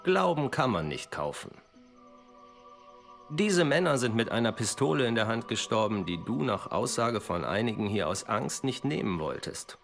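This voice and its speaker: Ein Schauspieler als zynischer, abergläubischer Soldat in einer deutschen Videospiel-Synchronisation, bohrend und unverblümt. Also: male voice, medium pitch, sing-song, moderate pace, vocal fry, soft background music. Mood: accusing. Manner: probing, blunt. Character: cynical, accusing, superstitious American soldier. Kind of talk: German video game dub acting.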